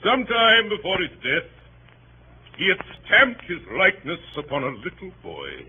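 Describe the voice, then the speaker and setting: An actor playing a pompous, grandiose barrister making an oratorical speech in a 1930s radio drama. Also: male voice, high voice, huge pitch swings, measured pace, pushed and bright, exaggerated voice. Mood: theatrical, mournful. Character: grandiose, bombastic male barrister addressing a jury. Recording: noisy, dull 1930s radio recording.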